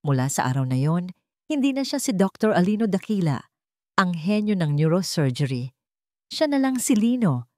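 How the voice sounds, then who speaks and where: Female storyteller, low register, scripted dramatic narration, very dry recording. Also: a little mellow, no room sound.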